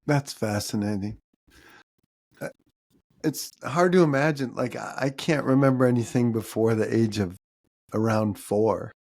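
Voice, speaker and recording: A man's voice: medium pitch, a little slow, vocal fry, long pauses, darkish tone. A man, hosting a podcast and asking questions in a conversational style. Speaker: podcast host, interviewer. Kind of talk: conversational interviewing.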